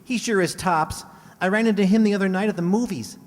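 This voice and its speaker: Earnest chatty high school lad acted by a man, high voice, 1940s radio sitcom style, roomy hall sound. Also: brisk, close to an everyday voice. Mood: eager, gushing. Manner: earnest, gushing. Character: eager, talkative teenage high school boy. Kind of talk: 1940s radio comedy acting.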